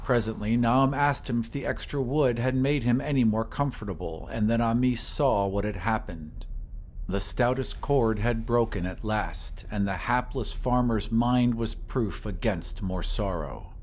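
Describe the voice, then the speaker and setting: A man reading a scripted horror story in a measured, literary storytelling manner. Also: mid-range voice, a little hiss or hum. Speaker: audiobook narrator. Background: ambient sound effects.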